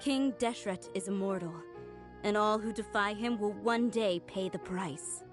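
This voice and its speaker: An actress playing a solemn, menacing zealot who declaims like a prophet of doom in an anime game. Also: female voice, medium pitch, measured pace, over music, lightly acted.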